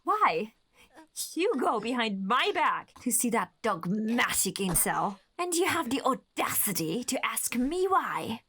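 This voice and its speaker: Horror audio drama villainess: jealous, possessive, condescending, higher-pitched, slow and deliberate. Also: female voice, sing-song, a bit bright, a little hiss or hum, exaggerated voice. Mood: jealous, accusing. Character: possessive, menacing, jealous woman tormenting her lover. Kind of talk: horror radio drama acting.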